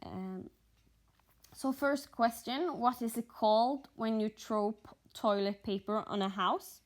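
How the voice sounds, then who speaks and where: A woman from Norway with a Norwegian accent, chatting casually in a vlog, pausing and thinking aloud, a little sleepy. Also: on the high side. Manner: halting, thinking aloud with fillers. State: just woken up, short on sleep. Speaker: stitching vlogger.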